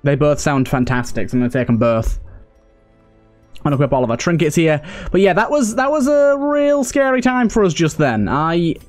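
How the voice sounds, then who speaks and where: A man's voice: fairly high pitch, lively intonation, moderate pace, a little mellow, faint music behind. A man hosting a let's play, chatting casually and thinking aloud as he goes. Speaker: gaming video host.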